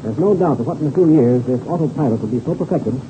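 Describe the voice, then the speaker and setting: Male actor as seasoned pilot mentor, assured, slangy, animated pitch, 1930s radio adventure, noisy tinny recording. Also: fast, natural voice. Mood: assured, enthusiastic.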